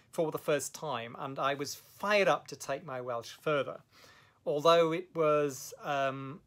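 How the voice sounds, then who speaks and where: A middle-aged man recounting his language learning in a thoughtful, measured way, with fillers and self-corrections, filmed in his home study. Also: medium pitch, fairly bright tone. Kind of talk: reflective personal storytelling to camera. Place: home study with bookshelves, indoors. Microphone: camera at desk distance.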